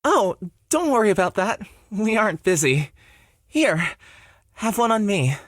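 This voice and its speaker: A woman plays a shy young male cashier in a visual novel. He is timid and friendly, speaking slowly and hesitantly. The recording is very dry. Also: mid-range voice, sing-song, dry recording. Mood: bashful. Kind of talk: visual novel character acting.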